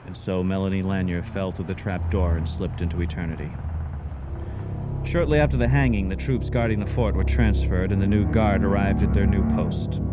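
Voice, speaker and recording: A man narrating a ghost story for radio theatre in a grave, portentous, scripted style. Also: deep voice.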